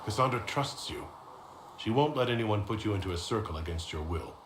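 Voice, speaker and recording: A composed young-hero type of mage, acted by a man in a fantasy game, with a low voice. He talks directly and asks plain questions. The sound is echoey, with clear background noise. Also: lively intonation, brisk, lightly acted. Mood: curious, reassuring. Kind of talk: video game dialogue acting. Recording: roomy echo, noisy background.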